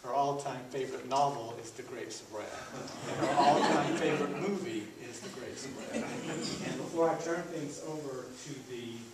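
A man hosts a public event, presenting information to an audience. His voice sits at an ordinary pitch. He is picked up from a distance in an echoey room, with clear noise behind him. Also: moderate pace, hardly any pauses. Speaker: event host introducing panelists. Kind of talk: reading introductions to a live audience. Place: meeting room, indoors.

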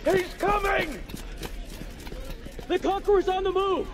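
An actor playing a panicked military messenger shouting a warning in a video game drama. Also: male voice, very high-pitched, takes it slowly.

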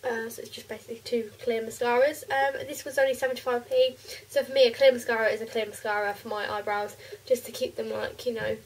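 A teenage girl with a cold and a croaky, stuffy voice, chatting casually through a makeup haul in her bedroom, with lots of ums. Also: a little high, steady tone, fast talker, far from the mic, low-quality recording. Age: teens. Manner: chatty, rambling with many ums. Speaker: beauty vlogger. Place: bedroom, indoors.

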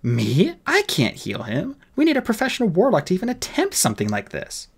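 A man's voice: sits high, huge pitch swings, fairly quick, gravelly, slightly rough, voice pushed up, full character voice. A man voicing a testy, blunt female fairy police captain in an audiobook story. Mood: grim, insistent. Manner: snappish, blunt, skeptical.

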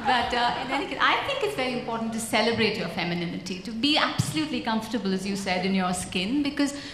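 A woman banker on a conference panel with a naturally soft voice, wry and good-humored. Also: on the high side, animated, fast talker. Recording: echoey hall with faint noise.

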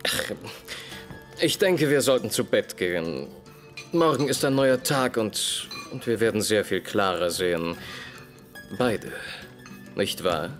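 An actor playing a cryptic, self-assured gentleman detective in an audio drama, deliberate and grave. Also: fairly low pitch, expressive, faint music behind.

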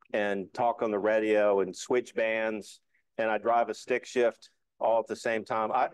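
A man's voice: medium pitch, dry recording, low-quality recording. Conversational man giving an informal club talk. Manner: conversational, explanatory. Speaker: club presenter. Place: indoor meeting room.

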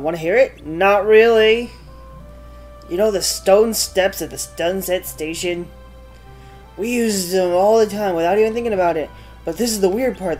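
A woman gamer gives casual let's play commentary and reads out on-screen dialogue. Her pitch is average, with faint game music behind her. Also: a little mellow.

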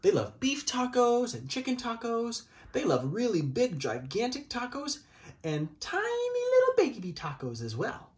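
A man's voice: extremely high pitch, huge pitch swings, measured pace. A man in his thirties reading a children's picture book aloud in a theatrical, playful, exaggerated manner. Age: 30s. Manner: theatrical, exaggerated, playful delivery with swoops and dramatic gasps. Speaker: storybook reader. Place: small child's room indoors.

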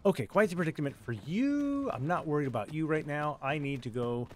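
A man's voice: fairly high pitch, expressive, fairly quick. A man hosting a let's play, chatting casually and thinking aloud as he plays, with wry asides. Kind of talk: casual gameplay commentary.

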